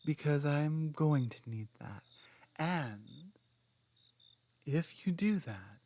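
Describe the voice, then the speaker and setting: Female orc companion, played by a woman: proud, blunt laborer, unhurried, halting, earnest delivery for an intimate ASMR audio drama. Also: low-pitched, animated, mellow, full character voice. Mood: determined, grateful. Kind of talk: ASMR roleplay monologue.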